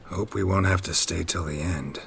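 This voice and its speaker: An actor playing a gruff, weary monster hunter in a video game, terse and deadpan with a low gravelly voice. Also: male voice, normal speed, a little hiss or hum. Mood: dry, wry. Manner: terse, deadpan, clipped sentences. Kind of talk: video game dialogue acting.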